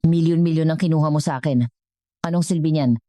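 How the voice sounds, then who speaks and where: A woman voicing a hurt, stern elderly mother, a wealthy matriarch in a dramatic story, speaking tersely and gravely. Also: low voice, fairly even intonation, fast, mellow, no echo, studio quality, close to an everyday voice. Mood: cold, bitter.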